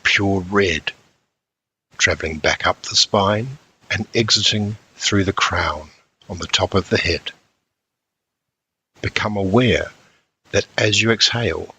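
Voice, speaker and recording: A man narrating a scripted guided meditation as an instructor, in measured short phrases with frequent pauses. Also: low-pitched, bright, forceful tone, breathy edge, rough recording.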